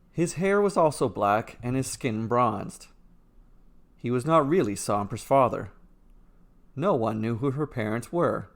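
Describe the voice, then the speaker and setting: A man reading a scripted fairy tale as its storyteller and narrator. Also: mid-range voice. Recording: music playing behind the voice. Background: instrumental music.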